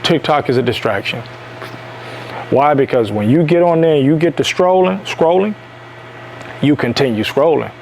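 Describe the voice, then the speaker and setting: A man's voice: fairly high pitch, animated, normal speed, creaky, pauses often, a little mellow, a little hiss or hum, poor audio. A man from Detroit giving a preachy motivational monologue as the host of a self-help video, stressing his points by saying them again. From Detroit, USA. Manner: emphatic, repeats lines for stress.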